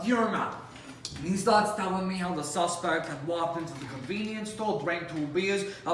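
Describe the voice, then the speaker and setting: A man playing a world-weary, animated police officer telling stories from the beat in a theatrical, conversational way with dramatic pauses. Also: high-pitched, pitched above the performer's own voice. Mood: animated storytelling. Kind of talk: competitive dramatic monologue performance. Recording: roomy, distant mic with faint noise.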